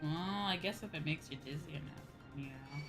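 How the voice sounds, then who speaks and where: Low-voiced woman chatting on a game stream. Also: moderate pace, rough recording. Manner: informal, chatty, swears casually. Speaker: livestreamer playing online games and chatting with viewers. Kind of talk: casual gaming stream chat. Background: game music.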